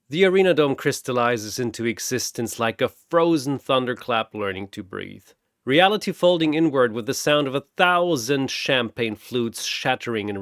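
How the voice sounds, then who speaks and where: Guy reading dramatic story narration, wry and theatrical, lively pitch, really dry sound. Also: no room sound. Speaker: narrator and author.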